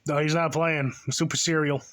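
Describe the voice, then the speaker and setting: A man's voice: a little high, level tone, moderate pace, a bit bright. A man in his twenties hosting a reaction video, giving sarcastic, exasperated commentary that mocks the person in the clip. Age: 20s. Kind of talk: mocking commentary over a clip.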